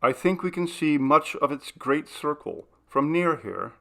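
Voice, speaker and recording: Man doing a polite amused young gent in an old ghost story, gravelly voice. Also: fairly high pitch, expressive, unhurried, fairly bright tone, pitched above the performer's own voice, full character voice. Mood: courteous. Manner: amused, courteous. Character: young gentleman host, Paul, amused and mysterious.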